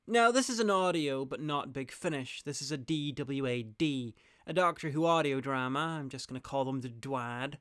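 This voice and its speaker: A male reviewer with a smooth, slightly high voice. He narrates from a script with wry asides, recorded close and dry. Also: a bit bright, dry recording. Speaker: video reviewer, host. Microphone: close, dry voice-over mic.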